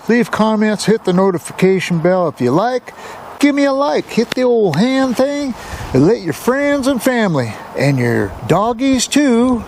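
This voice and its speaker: A man's voice: high-pitched, a little hiss or hum. An American man from the Seattle area telling personal stories casually as a channel host, in a folksy, colloquial, rambling way. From United States, Seattle area. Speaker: storyteller, YouTube channel host.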